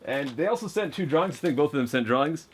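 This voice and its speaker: A man casually opening fan mail on camera, chatty and excitable, reading letters aloud and stumbling over his words now and then. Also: on the high side, fast, slightly rough.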